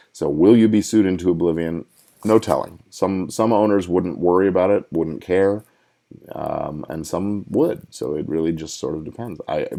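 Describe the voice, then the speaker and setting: A man's voice: deep voice, gravelly, mellow. A middle-aged man hosting a podcast, giving casual legal advice and thinking aloud as he goes. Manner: conversational, thinking aloud with restarts. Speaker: podcast host and entertainment lawyer. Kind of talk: casual live advice talk.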